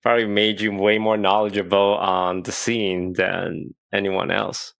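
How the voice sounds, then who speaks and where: A man's voice: fairly low pitch, level tone, unhurried, gravelly, a bit bright, no room sound. A man in his thirties from Malaysia, co-hosting a casual podcast chat and telling stories with a wry edge. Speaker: podcast co-host.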